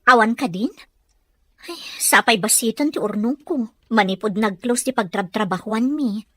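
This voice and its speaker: A woman as a gentle, struggling young mother. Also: a little high, normal speed, dry recording. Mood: worried. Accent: Ilocano. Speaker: radio drama actor. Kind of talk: Filipino radio drama dialogue.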